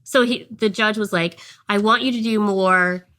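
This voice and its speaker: A woman from Ohio speaks as an interview guest, retelling events and voicing what others said to her. Her voice sits at a middle pitch. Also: fairly even intonation, normal speed, sharp tone. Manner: recounts events, quoting others in dialogue. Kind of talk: conversational storytelling.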